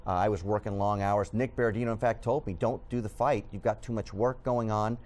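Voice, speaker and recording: A man's voice: on the low side, fairly even intonation, a little fast, a little mellow. A middle-aged man whose first language is Spanish, a news editor talking earnestly as a guest in a studio TV interview, with many fillers. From Southern California.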